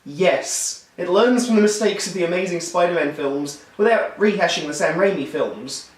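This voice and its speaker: Hoarse high-voiced man reviewing films. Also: normal speed. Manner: wry, enthusiastic critique. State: hoarse, losing his voice. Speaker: film reviewer. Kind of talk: scripted video review. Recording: roomy echo, distant mic.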